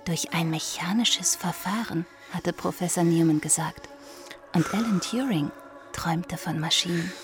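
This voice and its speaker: A woman giving measured, scripted narration for a radio documentary drama. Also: fairly low pitch, music in the background.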